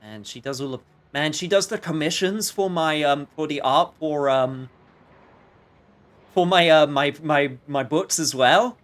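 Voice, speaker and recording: Rambling, higher-voiced male game streamer. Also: a little slow, fairly bright tone. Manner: rambling, jokey asides. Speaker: livestreamer. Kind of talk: casual game stream commentary.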